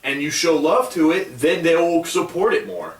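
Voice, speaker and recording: A man's voice: fairly high pitch, normal speed, echoey room, recorded from a distance, poor audio. A man co-hosting a casual gaming podcast, easygoing and arguing his point with lots of fillers. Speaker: podcast co-host.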